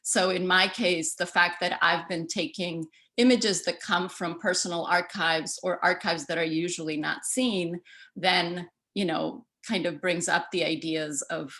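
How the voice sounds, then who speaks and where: A middle-aged woman from El Salvador talks through her slides on a video call, her voice bright and a little low. She speaks in a loose, conversational way, full of fillers and restarts. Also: fairly even intonation, a little slow. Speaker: artist giving a talk. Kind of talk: casual presentation over a video call.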